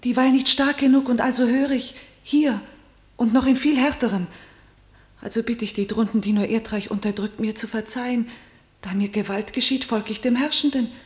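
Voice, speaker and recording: Woman acting as timid, tender tragic sister, radio play, highish voice, solemn measured verse, echoey room. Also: steady tone, close to an everyday voice. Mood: fearful, pleading. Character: fearful, submissive, tender sister pleading caution. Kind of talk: German classical tragedy radio play.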